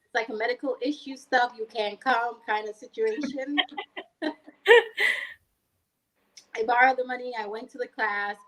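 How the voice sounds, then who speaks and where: A woman's voice: a little high, steady tone, moderate pace, pauses often, fairly bright tone, rough recording. A woman in her twenties with a Jamaican accent, telling a personal story conversationally as a guest. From Montego Bay, Jamaica. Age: 20s. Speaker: guest, online freelancer.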